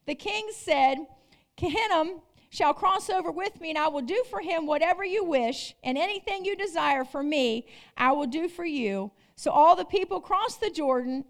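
Very high-voiced woman preaching a sermon. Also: gravelly. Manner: emphatic, repeats phrases, rhetorical questions to congregation.

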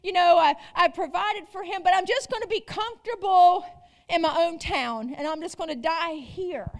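A woman's voice: extremely high pitch, moderate pace. A woman preaching a sermon, emphatic and repetitive, asking the congregation rhetorical questions.

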